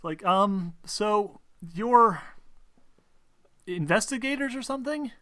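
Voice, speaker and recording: A man voicing a nervous woman who haltingly asks for help in a tabletop game. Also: high-pitched, animated, bright, forceful tone, no echo, clean studio sound, voice pushed up, heavily acted. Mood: nervous, hesitant. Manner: halting, stammering. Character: nervous woman client asking investigators for help.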